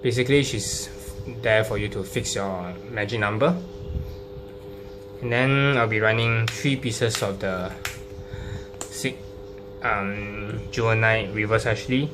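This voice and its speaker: A man casually presenting a card game deck, explaining it unscripted and card by card in a halting, hesitant way. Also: lowish voice. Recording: clearly noisy home recording with hum.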